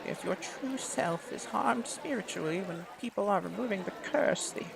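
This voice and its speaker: A man's voice: high-pitched, normal speed, creaky, background noise, voice pushed up. A man putting on a frail, kindly old woman. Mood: gentle pleading.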